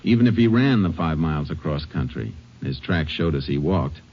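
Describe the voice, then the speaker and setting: An American actor playing a steady, laconic Texas Ranger in a vintage radio drama, speaking in a clipped, matter-of-fact way. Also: male voice, a bass voice, moderate pace, husky, exaggerated voice. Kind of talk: 1950s American radio drama acting and narration. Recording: muffled vintage radio recording, loud music.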